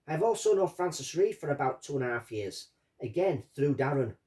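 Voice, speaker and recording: A man reading out a witness statement and commenting on it as a true-crime host, in deliberate short phrases. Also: on the high side, sing-song, darkish tone. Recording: distant from the microphone.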